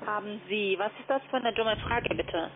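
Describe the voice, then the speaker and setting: A woman's voice: medium pitch, moderate pace. A woman making scripted phone sales calls as a call centre agent. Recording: noisy, tinny phone line. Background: background noise on the line.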